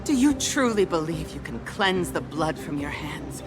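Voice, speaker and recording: A woman's voice: deep voice, sing-song, moderate pace, music in the background. An actress playing a fierce, defiant warrior queen in a video game, speaking in an impassioned, commanding and formal way. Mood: scornful anger. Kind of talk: dramatic video game acting.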